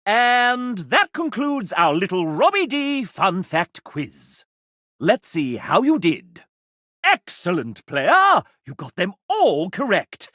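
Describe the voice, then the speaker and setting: A man voicing a sassy, pompous, playful gentleman who hosts and narrates a video game in a showy, theatrical way. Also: high-pitched, slow pace, no room sound, clean studio sound, full character voice. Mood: showy, excited. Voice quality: animated theatrical delivery. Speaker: game show style host and narrator.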